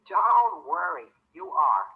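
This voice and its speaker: An actor playing a sarcastic, teasing, excitable young man in a 1930s radio comedy. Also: medium pitch, slow. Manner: teasing, sarcastic, swooping delivery. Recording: tinny, band-limited 1930s radio recording.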